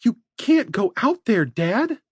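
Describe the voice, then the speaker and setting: A man voicing a desperate young mother with a hoarse voice who shouts in panic. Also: sits high, very animated, takes it slowly, no echo, studio quality, pitched above the performer's own voice, exaggerated voice. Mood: urgent. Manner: shouting, frantic.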